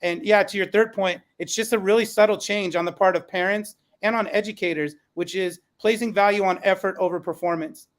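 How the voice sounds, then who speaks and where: A man with a high voice moderates a podcast panel. He speaks earnestly and conversationally, passing questions to the guests. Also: fairly even intonation, brisk, few pauses.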